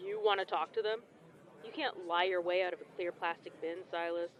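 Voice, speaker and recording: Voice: medium pitch, fairly even intonation, moderate pace, a bit bright, natural voice. An actor playing a casual, sardonic young nonbinary spokesperson in an audio drama, chatty and wry, in slightly dull sound.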